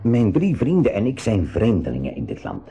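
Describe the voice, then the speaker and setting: A kindly Flemish submarine captain, a principled uncle figure in an eighties radio drama. His low, mellow voice swings widely as he commands and explains. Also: wildly swooping pitch, normal speed, gravelly, husky, lo-fi sound, exaggerated voice. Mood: earnest, firm. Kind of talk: 1980s school radio play acting.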